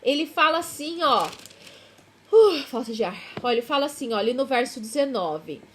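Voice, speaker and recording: A woman's voice: high voice, animated, moderate pace, a little hiss or hum. A Brazilian woman with a Brazilian accent, chatting casually in a rambling devotional vlog, correcting herself and laughing at herself. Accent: Brazilian Portuguese.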